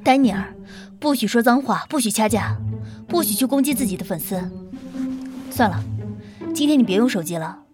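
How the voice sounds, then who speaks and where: A woman acting a strict, high-voiced manager. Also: expressive, moderate pace, pauses often, over music, close to an everyday voice. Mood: stern. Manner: firm, admonishing, businesslike. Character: strict, exasperated female celebrity manager. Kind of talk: Chinese audio drama acting.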